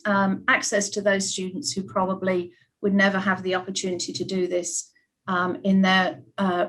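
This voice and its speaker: Middle-aged british lady on a video call, chatty, lots of ums, average pitch. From United Kingdom. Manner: warm, chatty, many ums. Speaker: ballet school centre principal. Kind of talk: panel self-introduction on video call.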